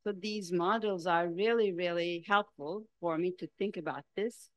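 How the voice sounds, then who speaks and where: A woman's voice: on the low side, normal speed, no room sound. A woman moderating a talk and asking the speaker a question, hesitant, with fillers.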